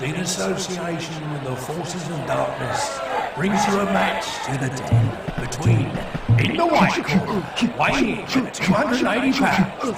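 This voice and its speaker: A man's voice: on the high side, creaky, exaggerated voice. An actor playing a scornful, grandly scheming male villain in a video game. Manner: sneering, theatrical ringside announcing.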